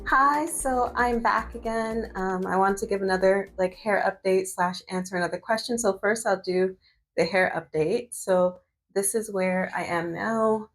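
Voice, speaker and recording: A woman's voice: mid-range voice, a little slow. A woman in her thirties vlogging while doing her hair, chatting casually with lots of fillers and restarts. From Canada. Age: 30s. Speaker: medical student and vlogger.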